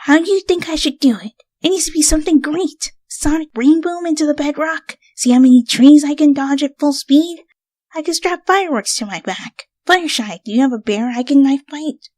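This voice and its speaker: A woman voicing a brash, eager tomboy cartoon pony with a cocky, excitable manner. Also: sits high, normal speed, dry recording, pitched above the performer's own voice, lightly acted. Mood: excited.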